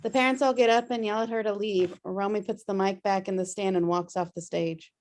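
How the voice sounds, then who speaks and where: A woman's voice: medium pitch, fairly even intonation, a little fast. A woman narrating stage directions at a script table read. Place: remote video call.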